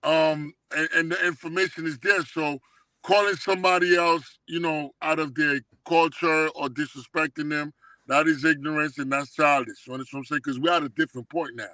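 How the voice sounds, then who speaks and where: A man's voice: on the high side, steady tone, fairly quick, pushed and bright, no echo, low-quality recording. A middle-aged man reminiscing casually in street slang, emphatic and often checking that he is understood. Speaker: guest telling life stories.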